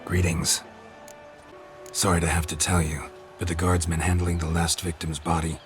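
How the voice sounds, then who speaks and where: An actor playing a stoic, world-weary monster hunter in a video game, gravelly and laconic. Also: male voice, low-pitched, moderate pace, over music, close to an everyday voice. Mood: matter-of-fact. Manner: laconic, deadpan, clipped sentences. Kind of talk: video game character acting.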